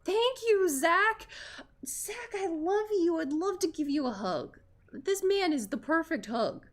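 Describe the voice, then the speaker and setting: A woman livestreaming a visual novel, chatting and reacting aloud to the characters in an informal, expressive way. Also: very high-pitched, slow pace. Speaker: streamer playing a visual novel.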